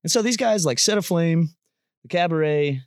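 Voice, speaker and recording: A man in his late twenties, a band member chatting casually in a recording studio, rambling and quick to laugh. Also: a little high, animated, dry recording. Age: 20s. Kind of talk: casual band interview chat. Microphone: studio mic.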